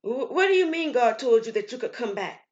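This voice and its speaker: A woman's voice: fairly high pitch, sing-song, brisk, muffled recording, pitched above the performer's own voice. A woman playing an eager, stunned father in an audio story, stammering and urgent. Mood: eager disbelief.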